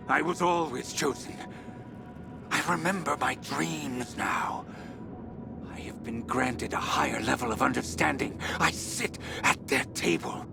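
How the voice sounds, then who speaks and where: An actor playing an obsessed researcher sliding into messianic madness in a video game audio log, speaking haltingly and broodingly, at times breaking into whispers or cries. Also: male voice, a little high, vocal fry, heavily acted. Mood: exalted, fanatical. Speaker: expedition leader recording audio logs. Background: background music.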